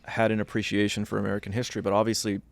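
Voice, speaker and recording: Iraq-born male host, gravelly low voice, flat delivery, dry studio sound; earnest interviewer. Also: rapid-fire, no echo. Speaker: podcast host. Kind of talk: interview questions.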